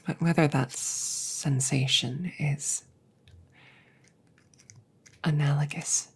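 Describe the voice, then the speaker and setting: A woman voicing a gentle, stoic female android in an ASMR audio roleplay, whispering breathily with formal, precise, measured phrasing. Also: low-pitched, fairly even intonation, vocal fry, breathy edge, exaggerated voice. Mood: measured, clinical.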